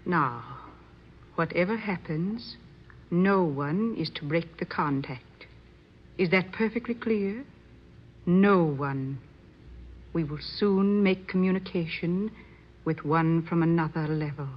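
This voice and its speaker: An actress playing an imperious, solemn middle-aged séance medium in a radio drama, with formal, commanding delivery. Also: female voice, fairly low pitch, slow, long pauses. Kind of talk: old-time radio drama acting. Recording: dull lo-fi radio recording, faint hiss.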